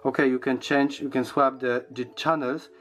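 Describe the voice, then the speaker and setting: A man giving a casual unboxing and product review in a halting, hesitant way, often repeating words. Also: mid-range voice, flat delivery, a bit bright.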